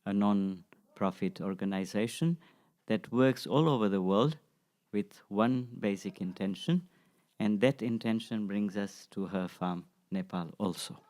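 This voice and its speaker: Low-voiced man in his sixties. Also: a little slow, a little mellow. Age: 60s. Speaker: guest, acupuncture practitioner and teacher. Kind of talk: unscripted interview answers.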